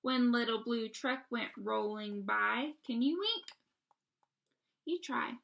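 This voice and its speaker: Slow, high-voiced woman reading to children. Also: vocal fry, fairly bright tone. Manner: stops to ask simple questions and explain words. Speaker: read-aloud storyteller. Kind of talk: expressive picture-book reading for young children.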